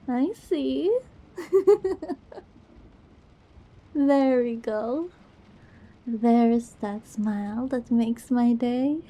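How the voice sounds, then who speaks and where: A woman's voice: on the high side, dark tone, dull audio. A woman playing a goofy, affectionate young girlfriend in an intimate ASMR roleplay, with a playful, teasing manner.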